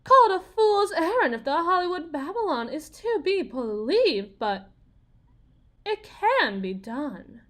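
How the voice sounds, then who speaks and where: A woman's voice: high-pitched, fairly bright tone, exaggerated voice. A woman playing a bitter, desperate young Southern US wife, confiding in a folksy, theatrical way in an audio roleplay monologue.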